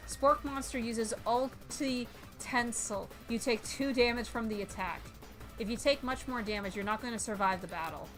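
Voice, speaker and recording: A woman streamer doing a playful let's-play, reading game text aloud and reacting with animated exclamations. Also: fairly high pitch.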